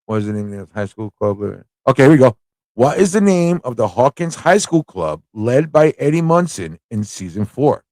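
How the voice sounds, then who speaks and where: A man's voice: mid-range voice, a little mellow, no room sound. A man from the United States hosting a live trivia quiz, chatting casually with viewers and repeating questions as he reads them out. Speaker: livestream host running a trivia quiz.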